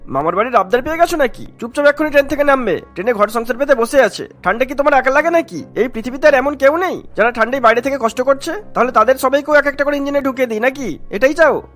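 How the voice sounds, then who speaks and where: Cartoon role: threatening railway boss, raised pitch, very quick delivery, sneering and irate. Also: male voice, lively intonation, a bit bright, soft background music. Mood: angry, sneering. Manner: scolding, threatening, sarcastic. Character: irate, bossy station master, sneering at beggars. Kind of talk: Bengali cartoon voice acting.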